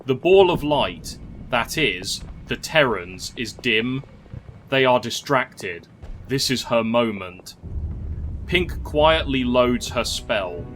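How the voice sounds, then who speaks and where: A man reading a scripted fantasy story in a measured, literary storytelling manner. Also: medium pitch, lively intonation, fairly bright tone, a little hiss or hum. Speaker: narrator. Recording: music clearly under the voice. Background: music.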